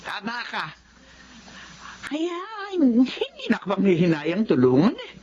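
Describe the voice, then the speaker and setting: A woman's voice: mid-range voice, sing-song, slow, crowd noise. An actress playing a kindly, generous married employer in a Filipino radio drama, reassuring and motherly. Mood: kindly, chatty. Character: kindly, generous childless wife, employer of a helper. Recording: slightly muffled with clear background noise.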